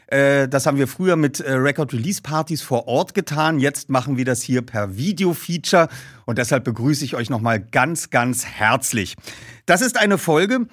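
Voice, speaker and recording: A man's voice: mid-range voice, animated, moderate pace, fairly bright tone, dry recording, clean studio sound. A man speaking as a host, presenting to his listeners. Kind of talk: scripted ad read and casual show presenting.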